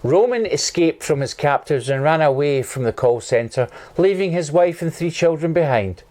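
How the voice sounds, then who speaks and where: A man narrating in a deadpan, newsreader-like manner as host of a comedy show. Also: fairly high pitch, normal speed. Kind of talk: mock news narration.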